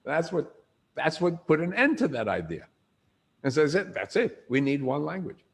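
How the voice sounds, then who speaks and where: Gravelly older man lecturing with lively questions. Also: a little high, moderate pace. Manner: animated storyteller, asks the room questions. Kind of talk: informal lecture to a group.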